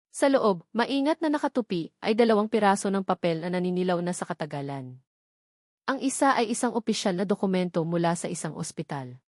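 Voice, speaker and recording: A woman's voice: mid-range voice, darkish tone, no room sound, studio quality. A woman reading a scripted dramatic story as its narrator.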